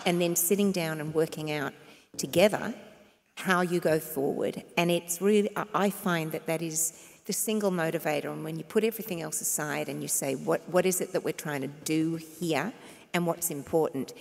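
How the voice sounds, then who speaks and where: Low, gravelly woman on a panel. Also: moderate pace, a little mellow. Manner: confident, emphatic. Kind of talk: panel discussion. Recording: reverberant hall.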